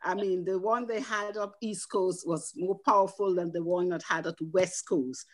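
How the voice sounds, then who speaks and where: Husky older Guyanese woman reminiscing. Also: on the low side, fairly even intonation, moderate pace. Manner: chatty, rambling storyteller. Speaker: guest. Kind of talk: casual reminiscing on a video call. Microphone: video call.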